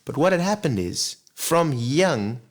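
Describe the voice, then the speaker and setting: A man, a singer talking as a guest in a relaxed, reflective interview. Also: a little high, measured pace. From Zimbabwe. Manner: reflective, earnest, many "you know" asides. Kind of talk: casual interview chat.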